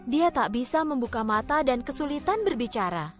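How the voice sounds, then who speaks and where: A woman narrating a children's fairy tale in an expressive, scripted storytelling style. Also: high voice, moderate pace. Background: background music.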